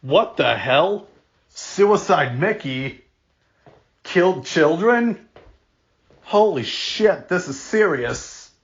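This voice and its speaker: Man, slightly high voice, drawn-out reactions for an amateur scenario, lo-fi recording. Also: animated. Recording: dull lo-fi audio.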